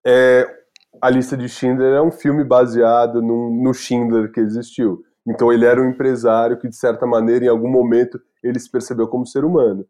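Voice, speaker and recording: A man with a mellow, warm-toned voice at a middle pitch, teaching in an earnest way. He addresses his listeners directly and urges them on. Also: little pitch movement, fairly quick. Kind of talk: lecture discussion.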